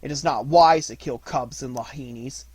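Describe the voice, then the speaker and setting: A man reading aloud, voicing a fierce young male jungle boy raised by wolves, who shouts battle cries. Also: mid-range voice, moderate pace, husky, no room sound, lightly acted.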